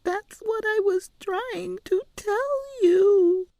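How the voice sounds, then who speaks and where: A woman voicing an earnest, stubborn eight-year-old girl with a small, breathy voice, in an audiobook story. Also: extremely high pitch, drawn-out words, darkish tone, no room sound, pitched above the performer's own voice, full character voice. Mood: stubborn protest. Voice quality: small, breathy, often whispering. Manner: earnest, insistent, plaintive.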